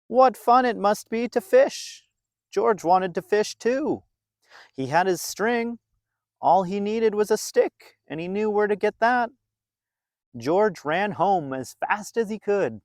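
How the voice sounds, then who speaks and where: A man narrating a children's storybook in an expressive, deliberate way, drawing out phrases for young listeners. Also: sits high, no room sound, clean studio sound. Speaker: storybook reader.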